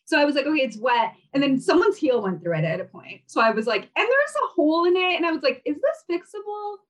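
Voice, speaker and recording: A woman's voice: high voice, big pitch swings, fast, distant mic. A young woman from Kazakhstan, Russian her first language, chatting as an interview guest with many fillers and laughs. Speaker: guest, fashion brand founder. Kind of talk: casual interview chat.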